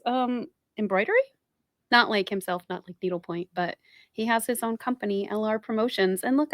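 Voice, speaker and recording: A woman co-hosting a podcast in casual chat, with quick, teasing banter. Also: on the high side, fairly bright tone, no room sound, studio quality. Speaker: podcast co-host.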